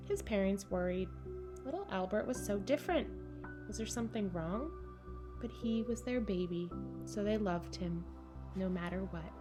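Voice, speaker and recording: A woman reads a children's picture book aloud in a mid-pitched voice. Her phrasing is measured and deliberate, with pauses for drama, and music plays clearly behind her. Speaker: storybook reader for children.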